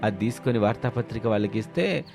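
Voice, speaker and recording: A man's voice: on the low side, expressive, fast talker, vocal fry, darkish tone. Man playing a sly, wheedling village drinker, music behind. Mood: smooth, reassuring. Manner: wheedling, scheming, reassuring. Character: sly toddy-loving village man, smooth-talking moocher. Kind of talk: animated moral story dialogue.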